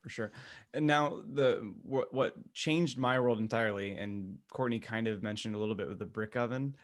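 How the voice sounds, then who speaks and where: A man's voice: fairly low pitch, normal speed, no echo, studio quality. A man co-hosting a podcast in casual chat, telling things in a loose, slangy way. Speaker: podcast co-host.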